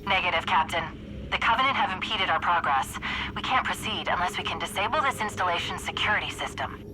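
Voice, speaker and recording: An actress playing a confident, analytical female military AI in a video game cutscene, crisp and self-assured. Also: lowish voice, expressive, fast, background noise, close to an everyday voice. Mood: brisk, commanding. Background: cinematic music.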